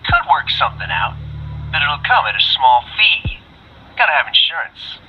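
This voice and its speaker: A man's voice: on the high side, wildly swooping pitch, normal speed, lo-fi sound, a little hiss or hum. An actor playing a smug, threatening male gang thug in a video game, talking in a mocking, sneering way.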